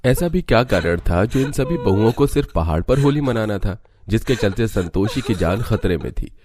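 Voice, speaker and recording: Low-voiced man narrating a dramatic story. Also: lively intonation, normal speed. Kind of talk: scripted Hindi cartoon story narration.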